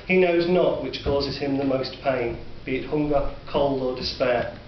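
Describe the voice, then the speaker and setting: A man with a Northern English accent narrating a dark story in a measured, sombre way. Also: mid-range voice. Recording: distant, roomy, dull recording with faint hiss.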